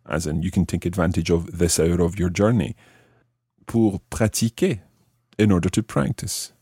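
A man hosting a language lesson, explaining in a deliberate way and repeating phrases word by word. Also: sits low, warm tone, slightly rough, dry recording, studio quality. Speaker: language teacher and podcast host.

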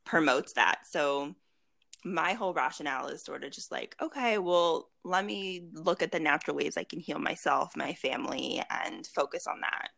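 A woman in her late twenties speaks as a guest in a relaxed interview, her voice low and marked by vocal fry. She talks easily and at length, with frequent fillers, restarts and small laughs. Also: normal speed. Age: 20s. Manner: chatty, laughs often, many fillers and restarts. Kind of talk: casual video chat interview.

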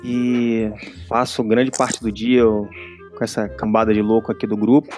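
A man from Rio, introducing himself with wry, relaxed humor. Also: on the low side, moderate pace, soft background music. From Rio de Janeiro, Brazil. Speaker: photographer guest. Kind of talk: casual self-introduction.

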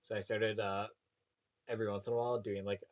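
A young man with a Canadian prairie accent, chatting casually on a podcast. His voice sits low, and his talk wanders through fillers and restarts. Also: flat delivery, a little fast. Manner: rambling, many fillers and restarts. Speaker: teacher.

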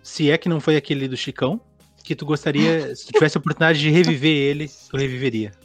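A podcast host, putting relaxed, encouraging questions to a guest. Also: mid-range voice, fast, faint music behind. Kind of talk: casual interview questions.

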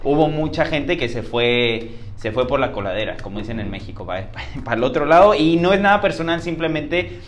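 A man's voice: mid-range voice, expressive, fairly quick, hardly any pauses. A man hosting a podcast, talking in a loose, rambling way with many fillers and slang. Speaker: podcast host. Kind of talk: casual conversation.

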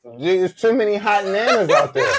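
A man's voice: high-pitched, big pitch swings, normal speed, heavy background noise. A man hosting a comedy podcast, talking in a loose, joking, slangy way. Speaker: podcast host. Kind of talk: casual comedic chat.

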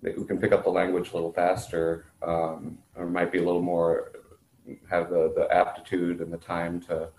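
Low-voiced man, even delivery, explaining context in an interview, roomy echo. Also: moderate pace, vocal fry. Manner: clarifies and explains for others. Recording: roomy echo, faint background noise.

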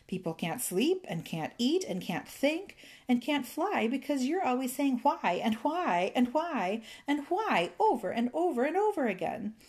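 Storybook fairy, male, played by a woman: bossy, indignant, raised pitch, emphatic scolding delivery. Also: big pitch swings, moderate pace, darkish tone. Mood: angry, threatening. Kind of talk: children's storybook character voice.

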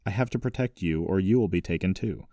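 Audiobook role: protective, secretive elderly patriarch, acted by a man, low, commanding, distant from the mic. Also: fast, warm tone, clean studio sound. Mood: stern. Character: stern, protective, secretive grandfather, wealthy family patriarch. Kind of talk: audiobook character dialogue. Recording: roomy echo, distant mic.

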